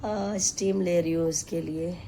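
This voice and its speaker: A hoarse woman with a sore throat, chatting to her followers from home in a weary, sighing way. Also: on the low side, fairly even intonation, dark tone, a little hiss or hum. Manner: slow, weary, sighing between lines. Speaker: vlogger and home tailor. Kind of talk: casual chat to camera. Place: at home, taking steam.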